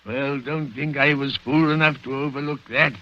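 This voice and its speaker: A man's voice: a little high, steady tone, normal speed, bright, forceful tone. An actor playing a bitter, gloating killer who taunts his victim in a 1940s radio thriller. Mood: gloating threat. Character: menacing male serial killer, bitter over a lost love. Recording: muffled old radio broadcast recording.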